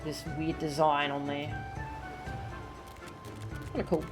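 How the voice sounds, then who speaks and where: A woman's voice: low voice, slow, over music. A woman casually commenting to herself while playing a video game on stream. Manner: relaxed, musing to herself. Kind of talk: casual let's-play commentary.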